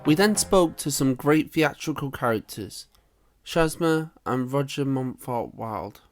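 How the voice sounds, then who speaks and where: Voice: medium pitch, expressive, measured pace, soft background music, natural voice. An actor playing a polite local podcast interviewer, reading out scripted questions. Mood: neutral.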